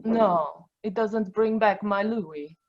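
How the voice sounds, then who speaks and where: Woman playing a slow, anguished Sicilian woman, muffled. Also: medium pitch. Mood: defensive. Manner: halting, theatrical, emotional. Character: anguished, bitter, proud Sicilian woman in love. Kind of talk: 1940s radio drama acting. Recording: dull, muffled video-call audio.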